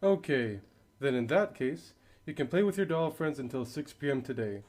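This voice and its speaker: A man playing a gentle, patient father in an amateur radio drama, calm and reassuring. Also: mid-range voice, normal speed, over music, close to an everyday voice. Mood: patient, puzzled.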